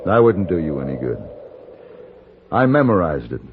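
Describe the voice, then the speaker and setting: A man's voice: sits low, very animated, normal speed. An American man playing a cool, taunting, unafraid Western stranger in an old-time radio drama. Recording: tinny noisy old radio recording.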